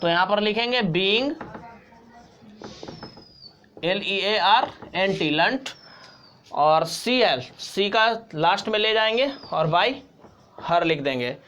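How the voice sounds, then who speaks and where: A man's voice: high voice, expressive, takes it slowly, bright, forceful tone, slight background noise. A man with an Indian accent teaching English grammar step by step, repeating key points in an instructional way. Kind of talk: step-by-step tutorial explanation.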